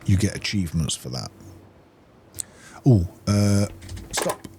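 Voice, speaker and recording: A man's voice: on the low side, lively intonation, takes it slowly, background noise. A man giving casual gameplay commentary, muttering to himself as he plays. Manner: muttering to himself while playing. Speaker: gamer, video creator.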